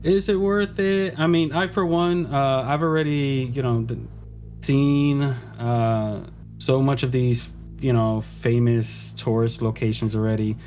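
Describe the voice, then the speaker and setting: A man co-hosting a podcast, talking in a halting, casual way with lots of "you know" and "like.". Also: medium pitch, steady tone, darkish tone. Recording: faint background noise.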